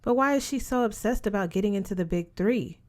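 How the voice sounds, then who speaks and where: A woman's voice: mid-range voice, brisk, lightly acted. Woman reading as a naive, questioning teen girl. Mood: puzzled. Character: naive, modest Mexican American teenage scholarship girl.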